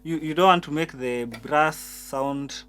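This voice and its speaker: A man's voice: a little high, slow. A man teaching keyboard settings step by step, often repeating words as he talks. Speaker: piano instructor. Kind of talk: keyboard tutorial. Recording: keyboard music behind voice.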